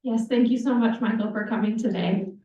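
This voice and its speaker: A woman's voice: a little high, fairly quick, warm tone. Friendly woman speaking at a meeting. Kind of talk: meeting remarks. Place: council meeting room. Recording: echoey chamber, distant muffled mic.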